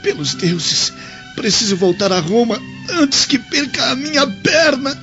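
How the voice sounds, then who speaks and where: A man's voice: very high voice, sing-song, measured pace, dull audio, over music, heavily acted. An actor playing a spoiled, injured young man in a radio drama, tearful with pain, whining and imperious. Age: young adult.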